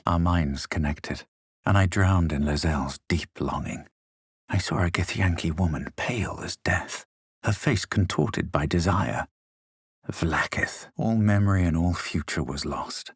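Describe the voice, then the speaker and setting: A self-assured male adventurer for a fantasy game. His voice is lowish and husky, with a grave, theatrical haughtiness. The sound is dry and studio-clean. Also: no room sound, exaggerated voice. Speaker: player character voice actor. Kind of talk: dramatic scripted fantasy game lines.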